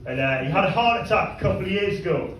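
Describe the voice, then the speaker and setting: A man with a fairly high voice works a comedy club crowd, mixing crude deadpan punchlines with halting, stumbling asides. He sounds distant and echoey, picked up from across a reverberant room. Audience laughter and room noise sit behind him. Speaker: stand-up comedian. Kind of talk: stand-up comedy set.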